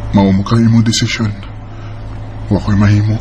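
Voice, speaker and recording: A man's voice: on the low side, flat delivery, normal speed, noisy background, natural voice. A man with a Visayan Filipino accent playing a devoted, easily startled young boyfriend in a radio drama. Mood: concerned.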